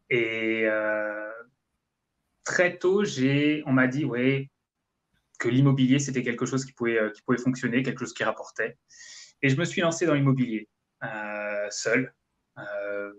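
A man's voice: medium pitch, dull audio. A man in his thirties from France, giving explanatory, conversational answers on a video call. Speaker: guest, real estate investment coach. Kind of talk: conversational live interview answers. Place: indoor room, video call.

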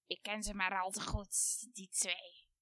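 Lady doing a creepy old storybook witch, hissy and slow, dry close sound. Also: medium pitch, flat delivery, bright, forceful tone, no room sound. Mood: menacing. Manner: slow hissing threats. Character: ancient menacing witch, ravenous and cruel.

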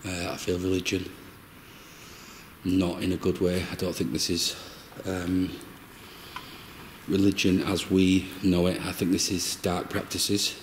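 A man speaks very slowly and haltingly, searching for words as he works as a medium on a ghost hunt. His voice sits deep, and the noise of the cave location is audible behind him. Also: flat delivery. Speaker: paranormal investigator acting as medium. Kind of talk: describing impressions and calling out to spirits on a ghost hunt. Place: inside a cave at night. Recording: clear background noise and ambience.

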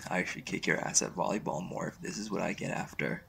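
Very deep, gravelly young boyfriend, acted by a man. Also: background noise. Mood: shy affectionate. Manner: soft, halting, stammering when flustered. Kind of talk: intimate romantic audio roleplay, ASMR.

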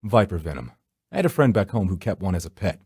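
Deep, dark-voiced, brooding supernatural hunter. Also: male voice, big pitch swings, fast, slightly rough, no room sound, clean studio sound, exaggerated voice. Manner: brooding, solemn. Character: grim, world-weary male supernatural rescuer narrating his hunt. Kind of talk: dramatic scripted first-person narration.

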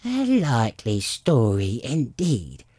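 A frantic mother pigeon from a storybook. She scolds and complains in a high, wildly swooping voice, full of indignation and scorn, with a breathy rasp at the edges. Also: sing-song, a little slow, a little mellow, no room sound. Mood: scornful. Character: agitated, scolding female pigeon, frantic and contemptuous.

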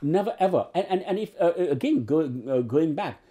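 A man's voice: on the high side, expressive, brisk, dark tone. A man in his sixties from Uganda, an actor telling his story in an oral history interview in a living room, rambling with many restarts and fillers. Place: living room with bookshelves and sofa.

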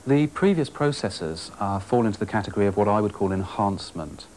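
A man's voice: fairly low pitch, animated, brisk, darkish tone. A man in his thirties explaining technology as a company spokesman in an interview. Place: small office, indoors. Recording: faint office room noise.

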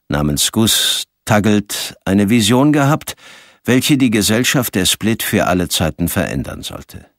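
A man reading a science fiction audiobook as narrator, with a measured storytelling delivery. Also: low-pitched, dry recording, clean studio sound.

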